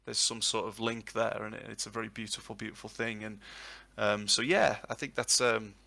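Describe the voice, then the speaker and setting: A man's voice: lowish voice, steady tone, brisk, vocal fry, bright, forceful tone. A man in his thirties, a language tutor answering questions in a live session, long-winded and reflective. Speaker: Welsh tutor and guest. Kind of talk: live Q&A on a voice chat.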